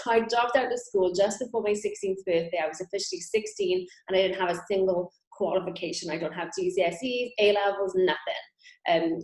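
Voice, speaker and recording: A woman's voice: medium pitch, echoey room, poor audio. A woman in her thirties with a Welsh accent, giving a chatty, upbeat online sales training as a coach. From Wales. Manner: upbeat, chatty, direct to camera.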